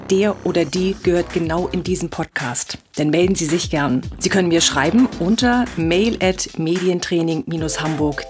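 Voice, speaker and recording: A woman's voice: mid-range voice, a little mellow. A woman podcast host reading a scripted outro announcement to listeners. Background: music.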